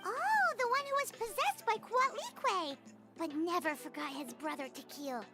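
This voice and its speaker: Super high squeaky little game mascot creature, excitable, swingy pitch, loud music behind. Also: female voice, moderate pace. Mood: enthusiastic. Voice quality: squeaky, childlike. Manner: excitable, refers to self by name. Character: small chirpy floating companion creature, childlike female, excitable. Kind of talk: video game voice acting.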